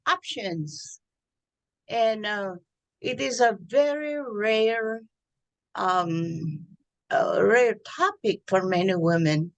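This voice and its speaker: A woman hosting a community talk show interview, halting with many fillers. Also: mid-range voice, a bit bright, poor audio. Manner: halting, many ums and uhs, chatty with laughs. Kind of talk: casual interview.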